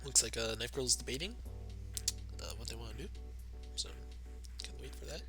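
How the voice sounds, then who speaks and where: A young man commentates a fighting game tournament on a live stream, his voice soft, low and quiet even at full mic volume. He talks in a relaxed, easygoing way and chuckles often between remarks. Game music plays clearly behind him. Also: moderate pace. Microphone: desk mic turned to max. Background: game music playing.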